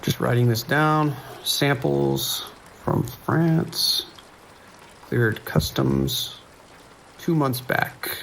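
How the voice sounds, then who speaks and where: A man playing a calm, kindly sheriff's deputy on night dispatch in an audio drama, talking casually and reassuringly. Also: medium pitch, drawn-out words, vocal fry, a little hiss or hum, heavily acted, low-quality recording. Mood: calm, businesslike. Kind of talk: naturalistic audio drama acting.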